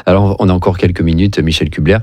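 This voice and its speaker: A man's voice: deep voice, fairly even intonation, quick pace, a little mellow. A man hosting an interview, giving brief, polite prompts and questions. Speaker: interview host.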